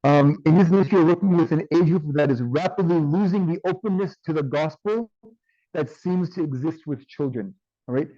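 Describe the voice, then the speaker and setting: Guy teaching online class, voice worn out, keeps saying right?, higher-ish mellow voice. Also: a little fast, no room sound, dull audio. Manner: checks in with "right?" often, many ums. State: tired, strained voice. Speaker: instructor.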